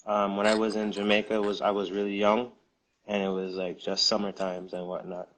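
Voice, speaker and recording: A man with a lowish voice talks through his story in an unhurried interview. He pauses often, restarts his sentences, and fills the gaps with "um" and "you know.". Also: flat delivery, a bit bright, rough recording. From United States. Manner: unhurried, hesitant with many fillers and restarts. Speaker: guest, music distribution co-founder. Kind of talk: casual interview answers.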